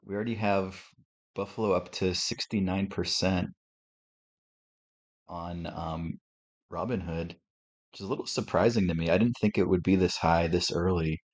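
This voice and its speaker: A man with a deep, sportscaster-like radio voice, hosting a relaxed live reaction stream from home and thinking aloud as he talks. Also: normal speed, pauses often. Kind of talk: casual live game reaction commentary. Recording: slightly muffled lo-fi audio.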